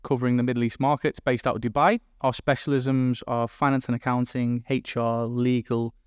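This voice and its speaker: A man from England with a mild Liverpool accent, talking as a guest about his business in a relaxed, rambling way. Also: mid-range voice, moderate pace, clear, resonant tone, dry recording, clean studio sound. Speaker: guest, recruitment business owner. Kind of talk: casual interview chat. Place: room in Dubai.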